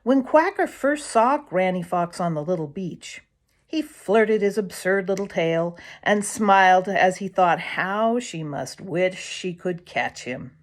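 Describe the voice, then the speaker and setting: A woman narrates a children's storybook at a very unhurried pace. Her voice sits slightly low for a woman. She tells it expressively, swelling on key words and pausing for emphasis. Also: lively intonation. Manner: dramatic, with emphatic pauses and swells. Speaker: storyteller reading a children's book aloud.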